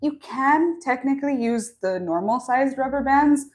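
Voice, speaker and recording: A woman's voice: high voice, expressive, moderate pace, a little mellow. A young woman hosting a live online lesson from a small office, chatty and upbeat, reading viewer comments aloud between explaining the supplies. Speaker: online coordinator and live-stream host. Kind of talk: friendly live-stream introduction and craft tutorial. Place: small office at a bamboo factory.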